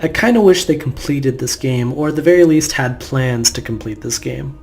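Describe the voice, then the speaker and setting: A man doing let's play commentary in a mid-pitched voice. He rambles casually and offhandedly, with faint game music behind him. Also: expressive, normal speed, darkish tone. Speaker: gamer narrating a playthrough.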